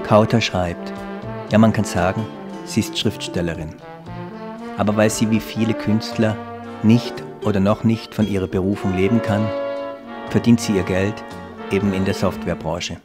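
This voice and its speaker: A narrator reading a scripted voice-over for a feature in a calm, measured way. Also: deep voice, over music.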